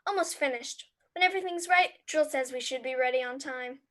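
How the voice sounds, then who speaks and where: High-pitched eager little girl played by a woman. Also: brisk, a bit bright. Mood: excited, defiant. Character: excited little girl plotting an invasion. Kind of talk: radio play acting.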